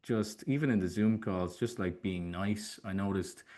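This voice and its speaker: Casting: young adult Irish man, lowish pitch, informal podcast host, conversational and a little hesitant. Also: normal speed, darkish tone. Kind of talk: casual interview chat.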